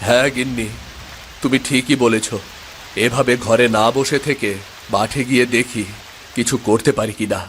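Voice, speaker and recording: A man's voice: medium pitch, fairly even intonation, moderate pace, long pauses, fairly bright tone, a little hiss or hum, lightly acted. Man acting a weary, humble village farmer. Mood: worried, resigned. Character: worried, resigned poor village farmer, a father. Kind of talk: animated moral-tale dialogue.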